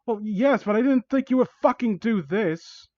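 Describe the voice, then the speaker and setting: A man acting a high, panicky, pleading male victim. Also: animated, moderate pace, dry recording, muffled recording. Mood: indignant panic. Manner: panicky, stammering, loud and high. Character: frightened, pleading young male victim, indignant and swearing. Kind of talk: mock dramatic fan fiction reading.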